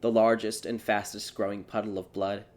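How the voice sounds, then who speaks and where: Low-voiced man narrating a dark tale. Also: little pitch movement, moderate pace. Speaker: audiobook narrator. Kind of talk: dramatic scripted fairy tale narration. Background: music.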